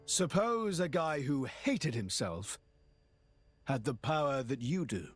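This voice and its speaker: A man playing a brooding, pensive character in a fantasy video game, deliberate and reflective in his delivery. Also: mid-range voice, big pitch swings, breathy edge, dry recording, soft background music, full character voice. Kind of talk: video game cutscene acting.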